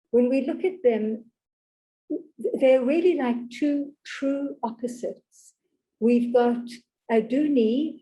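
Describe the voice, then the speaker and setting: Woman moderator, slow halting questioner, high-ish, mellow voice. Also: poor audio. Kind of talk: interview questions.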